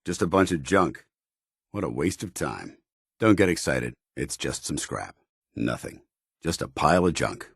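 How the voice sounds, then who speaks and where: Video game soldier: stern, disciplined, formal, low-pitched and husky, with varied intonation. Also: male voice, lively intonation, a little slow, no echo, studio quality, full character voice. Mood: disappointed. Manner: formal, military, clipped. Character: stern, disciplined soldier in power armor, middle-aged man. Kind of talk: scripted video game dialogue.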